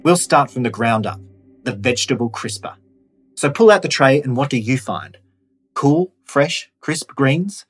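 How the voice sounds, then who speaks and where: A man with a slightly husky voice, narrating a scripted audio guide in a conversational way that instructs the listener directly. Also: medium pitch, expressive, measured pace, soft background music.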